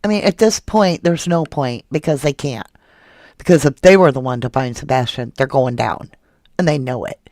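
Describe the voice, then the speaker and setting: A woman's voice: rumbling low, moderate pace, darkish tone, no room sound. Woman host, indignant true crime commentator, reads chat, dry close sound. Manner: indignant, questioning, rhetorical. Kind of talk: casual live commentary reading viewer comments.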